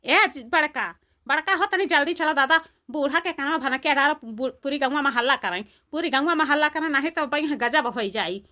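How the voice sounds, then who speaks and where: A woman voicing an excitable, complaining, gossipy village woman in a cartoon, exclaiming and repeating herself in an Awadhi accent. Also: high-pitched, moderate pace. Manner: repetitive, exclamatory. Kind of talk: amateur cartoon voice acting.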